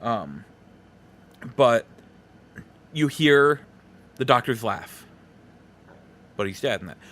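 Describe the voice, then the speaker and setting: A man's voice: mid-range voice, lively intonation, slow, fairly bright tone. A man hosting a podcast, talking casually and informally through a solo movie review with rambling asides. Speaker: podcast host.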